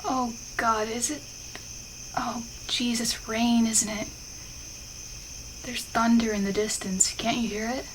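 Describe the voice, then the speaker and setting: A woman playing a shy, flustered, affectionate best friend in an intimate ASMR roleplay, speaking haltingly and bashfully. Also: fairly high pitch, level tone, low-quality recording. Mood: shy, embarrassed. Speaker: voice actor in an audio roleplay. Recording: clear insect and outdoor ambience noise. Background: crickets and night ambience.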